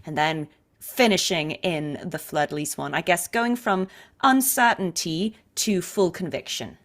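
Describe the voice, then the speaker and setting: A woman hosting a casual, chatty interview as a voice actor, talking in an encouraging, expressive way. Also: lowish voice, measured pace.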